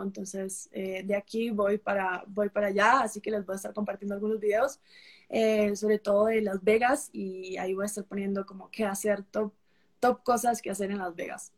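A woman's voice: mid-range voice, flat delivery, normal speed. A young woman from Peru with a mixed Peruvian and Costa Rican accent, a travel creator chatting as a guest on a live stream, full of fillers and restarts. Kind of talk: casual live-stream chat. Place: traveling on a road trip, remote connection.

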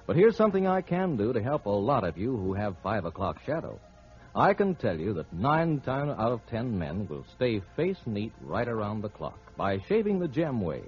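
A man announcing on 1940s radio, low-pitched with lively rises and falls. He is a polished, persuasive salesman and narrator. Light music runs behind the muffled old recording.

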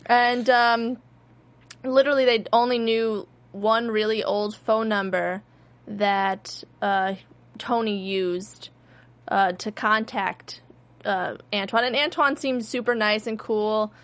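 A woman's voice: medium pitch, steady tone, slow, a bit bright. A young woman casually recapping a TV episode with friends, rambling with lots of fillers and restarts.

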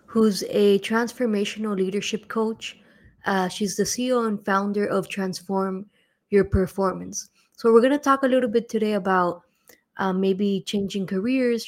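A woman's voice: medium pitch, darkish tone. A woman hosting a business podcast, welcoming a guest and asking friendly questions with fillers. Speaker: podcast host. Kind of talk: podcast interview.

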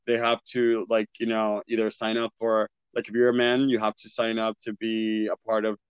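A man's voice: fairly low pitch, monotone, normal speed, clean tone, dry recording, lo-fi sound. A young man talking reflectively in casual conversation, searching for words with many "like" fillers. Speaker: podcast co-host.

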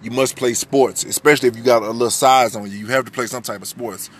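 A young man in his twenties from the United States with a deep voice, talking candidly and loosely in a personal podcast monologue, swearing freely and restarting his sentences. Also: fairly even intonation, quick pace, husky. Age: 20s. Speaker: podcast host.

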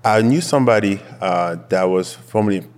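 A young man from Quebec whose first language is French, speaking English with a Quebec French accent as an interview guest, relaxed and candid with many fillers. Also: on the low side, moderate pace, in a large hall. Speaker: guest, football player. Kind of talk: casual interview.

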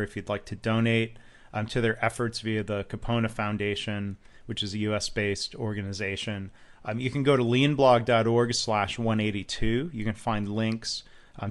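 Man hosting a podcast, easygoing. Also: on the low side. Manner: relaxed, with frequent ums and uhs. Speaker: podcast host. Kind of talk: podcast interview and promo reads.

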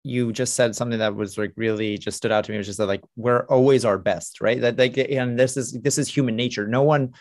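A man's voice: medium pitch, steady tone, fairly quick, few pauses, dry recording, studio quality. A man hosting a podcast, talking thoughtfully and casually with lots of fillers. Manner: thoughtful, full of fillers like "like" and "you know". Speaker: podcast host.

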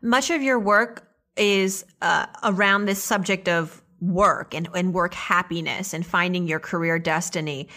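A woman's voice: lowish voice, a little slow, bright, forceful tone. A woman speaking as a podcast host, introducing and interviewing guests in an upbeat style.

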